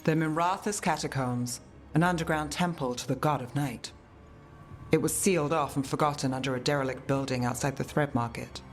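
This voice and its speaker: A woman's voice: low voice, a little fast, faint music behind, lightly acted. A woman playing a cool, world-weary investigator in a video game, speaking in a dry, clipped way. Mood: wry, businesslike.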